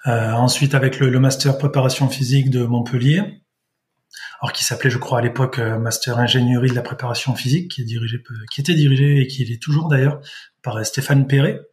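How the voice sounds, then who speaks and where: A man in his thirties from the south of France answers interview questions, often pausing and restarting his sentences. His voice sits at an ordinary middle pitch, and he speaks like a guest explaining his work. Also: fairly even intonation, darkish tone. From southern France, Vaucluse. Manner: hesitant, many fillers and restarts. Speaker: guest, sports dietitian-nutritionist.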